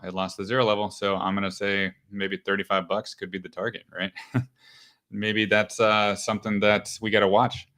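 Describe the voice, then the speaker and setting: A man hosting a live market show, talking casually through stock charts with a smooth voice and many fillers and restarts. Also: fairly low pitch, pushed and bright. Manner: loose, thinking aloud, many fillers and restarts.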